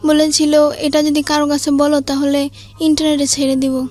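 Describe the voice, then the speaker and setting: A woman's voice: sits high, completely flat delivery, normal speed, darkish tone, soft background music. A schoolgirl with a Bangladeshi accent answering an interviewer's questions in brief, reserved replies. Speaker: young guest answering questions.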